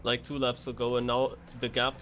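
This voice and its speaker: A man's voice: medium pitch, completely flat delivery, normal speed, fairly bright tone, soft background music. A man giving conversational live commentary on a racing game as a co-caster. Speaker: esports co-commentator.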